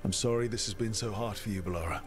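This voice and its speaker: An actor playing a calm, compassionate male hero in a fantasy video game, speaking gently and encouragingly. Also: lowish voice, fast, natural voice. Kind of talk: video game dialogue acting. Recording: game audio with music underneath.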